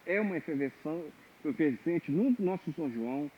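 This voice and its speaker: A man teaches a percussion lesson in a somewhat high, husky voice. He explains step by step and keeps checking in with his listeners. The sound is thin and phone-like. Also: expressive, moderate pace. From Maranhão, Brazil. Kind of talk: online music lesson. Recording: tinny phone-like audio.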